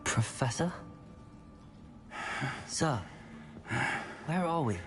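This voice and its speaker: A curious, expressive young wizard student. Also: male voice, fairly high pitch, drawn-out words. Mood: polite, questioning. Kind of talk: video game voice acting. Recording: music behind the voice. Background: game music.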